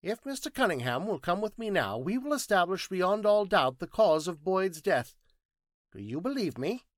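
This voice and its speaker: Man acting curt, high-pitched scientist sleuth. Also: very animated, normal speed, dry recording, clean studio sound. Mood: brisk, petulant. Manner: curt, precise, didactic. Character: elderly professor-detective, curt, petulant, exacting.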